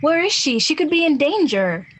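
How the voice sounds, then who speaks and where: A woman's voice: sits high, very animated, moderate pace, soft background music. A young woman playing an earnest, slightly exasperated young adult searching for a lost niece in an amateur radio play. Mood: urgent.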